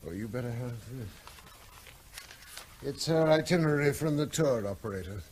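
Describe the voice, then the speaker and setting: An actor playing a grieving father in a radio drama, quiet and hesitant. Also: mid-range voice, animated, moderate pace, slight background noise, close to an everyday voice. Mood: subdued.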